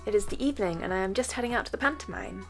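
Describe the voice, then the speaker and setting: A woman's voice: medium pitch, very fast, gravelly, music in the background. A young woman with an English accent chatting casually and enthusiastically to camera as a vlogger.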